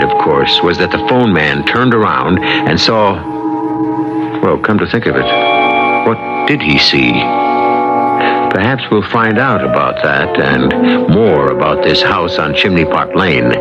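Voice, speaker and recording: A man's voice: low-pitched, lively intonation, unhurried. A man hosting a radio mystery show with wry, confiding, dramatic scripted narration. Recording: muffled old radio with loud music.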